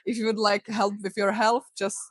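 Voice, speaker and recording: A woman's voice: medium pitch, little pitch movement, moderate pace, dry recording, studio quality. A young adult woman with a Czech accent, a guest health coach talking earnestly in a casual podcast interview, with many fillers. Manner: earnest, encouraging, many "you know" fillers.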